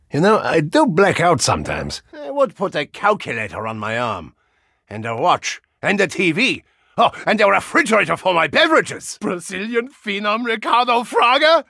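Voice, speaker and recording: An actor playing a boisterous German soccer-hooligan brawler with a soft side, in a bombastic, comically theatrical video game style with a German accent. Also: male voice, on the high side, wildly swooping pitch, normal speed, gravelly, no echo, studio quality, full character voice. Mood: fired up. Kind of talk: animated video game character lines.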